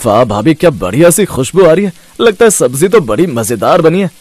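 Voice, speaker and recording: A man's voice: on the high side, very animated, a little fast, darkish tone, no room sound. A man voicing a cheerful young brother-in-law who teases playfully in a cartoon story. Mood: cheerful teasing.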